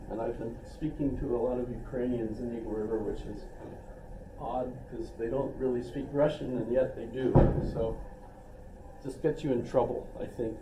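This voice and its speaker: A man's voice: mid-range voice, noisy background. An older man teaching a philosophy class in a casual, rambling way, with asides and self-deprecating jokes. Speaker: philosophy instructor. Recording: echoey classroom recorded from a distance.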